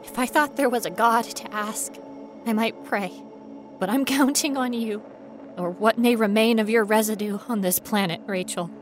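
A woman's voice: fairly high pitch, normal speed, faint music behind, lightly acted. A woman playing a frightened, wry young ghost researcher in an audio horror monologue, rambling nervously to herself. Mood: anxious, resolute. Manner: rambling nervous self-talk, sardonic, often dropping to whispers.

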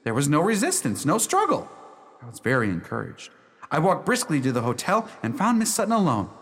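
An actor playing an earnest, lovestruck young gentleman, telling his story formally in a radio drama. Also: fairly high pitch, huge pitch swings, fairly quick, full character voice. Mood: determined. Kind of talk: radio drama narration, first person. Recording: long hall reverb.

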